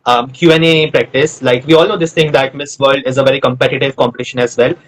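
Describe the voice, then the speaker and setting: A man's voice: mid-range voice, steady tone, fast talker, poor audio. A man from North India hosting a live online interview, chatty and friendly, rambling with fillers as he asks his questions.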